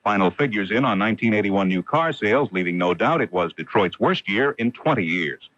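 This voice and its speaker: A man's voice: lowish voice, lively intonation, brisk, a bit bright, no room sound, muffled recording. An American man reading a scripted newscast as a radio news anchor on an early 1980s broadcast.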